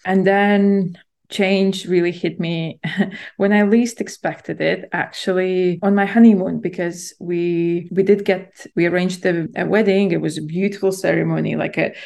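Gravelly-voiced woman. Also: on the low side, fairly even intonation, a little slow, a little mellow. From Latvia. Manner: reflective storytelling, full of "like" and "you know". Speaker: podcast guest. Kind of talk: casual podcast conversation.

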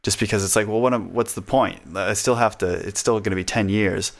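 Low-voiced man chatting casually. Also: fairly quick, vocal fry. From United States. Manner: chatty, laughs often, self-deprecating. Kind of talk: casual conversation.

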